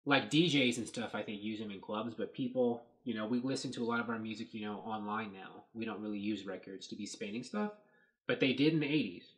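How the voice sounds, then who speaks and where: A man in his thirties, hosting a casual commentary on movie set photos, thinking aloud with lots of fillers, recorded at a desk webcam in a small dark room. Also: lowish voice, fairly quick. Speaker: host.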